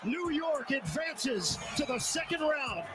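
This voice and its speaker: A man calling a basketball game in excited commentary, in a broadcast clip with a roaring arena crowd behind him. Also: very high-pitched, slow. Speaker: basketball play-by-play announcer. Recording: broadcast clip under loud crowd and music. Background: roaring arena crowd, music.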